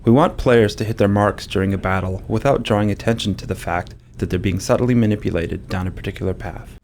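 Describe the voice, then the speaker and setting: Low-voiced man over faint ambience. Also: brisk, darkish tone, slight background noise. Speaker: game developer. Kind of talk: developer commentary narration.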